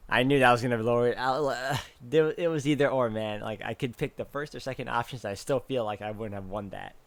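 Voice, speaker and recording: A man's voice: medium pitch, brisk. A man playing through a visual novel, reading its text aloud and adding casual commentary. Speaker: game streamer doing a walkthrough. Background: game music.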